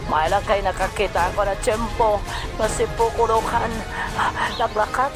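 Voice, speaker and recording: An actor playing a male gangster turned rescuer in a comic audio drama, speaking in broken, repetitive, halting phrases. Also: mid-range voice, steady tone, lightly acted. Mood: pleading, insistent. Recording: music behind the voice.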